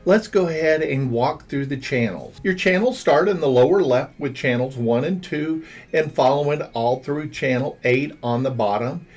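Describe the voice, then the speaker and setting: A man reading a scripted instructional narration as a tutorial narrator, guiding the listener step by step. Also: medium pitch. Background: background music.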